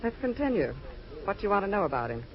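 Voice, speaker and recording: An actress playing a tough, guarded nightclub singer in a 1940s radio noir, cool and sardonic. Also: female voice, mid-range voice, big pitch swings, fast, lightly acted. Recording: dull old radio recording, faint music.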